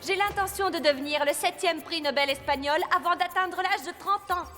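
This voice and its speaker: A woman's voice: extremely high pitch, brisk. A woman voicing a conceited, ambitious young female scientist in a cartoon, boastful and self-assured. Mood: smug, proud. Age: young adult. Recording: reverberant hall, music and crowd behind.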